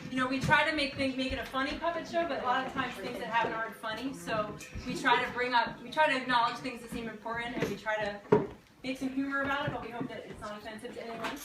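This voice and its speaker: A woman's voice: fairly high pitch, steady tone, quick pace, very noisy. A chatty, giggly woman giving guests an informal welcome as host of an amateur puppet show. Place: indoors at a home holiday party. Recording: echoey, distant, loud crowd behind. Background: party crowd chatter.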